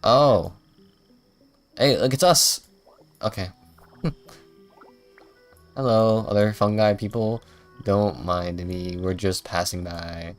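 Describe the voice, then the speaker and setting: Low-voiced playful man streaming a game. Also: slow, long pauses, soft background music. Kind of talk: casual livestream gameplay commentary.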